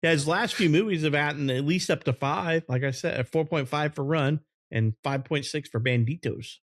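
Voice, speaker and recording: A man's voice: a little high, normal speed, dry recording. Casual male podcast co-host, dry close sound. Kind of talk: casual chat about movies, reading out facts.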